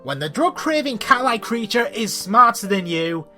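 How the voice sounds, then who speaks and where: A man's voice: sits high, big pitch swings, normal speed, pushed and bright, faint music behind. A man hosting a scripted comic review, with an exasperated, sarcastic way of talking. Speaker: video reviewer and host.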